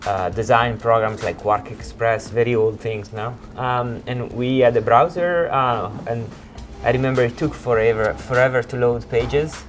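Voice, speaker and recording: A man in his forties from Italy with an Italian accent, a creative director recounting his career in an informal interview in hesitant, halting phrases. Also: medium pitch, faint music behind. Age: 40s. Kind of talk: informal interview, telling own career story.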